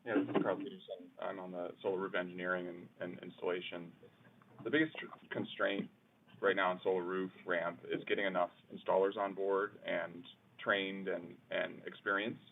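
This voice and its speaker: A man's voice: low voice, level tone, normal speed, slight background noise. A man giving an explanatory answer as an engineering manager on a business call. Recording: muffled narrow phone-line audio.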